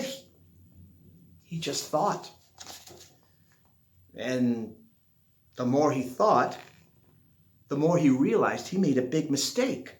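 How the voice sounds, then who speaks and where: A man reads a children's storybook in an echoey room. His fairly high voice swings widely up and down. He takes his time, drawing out words for dramatic effect. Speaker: storybook reader. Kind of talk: expressive children's picture book read-aloud.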